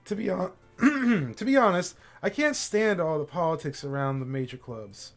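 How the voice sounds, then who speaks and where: A man voicing a cheerful, composed teenage girl who leads a school club, sounding bright and encouraging. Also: sits high, lively intonation, normal speed, soft background music. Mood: upbeat. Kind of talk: voicing game characters on a live stream.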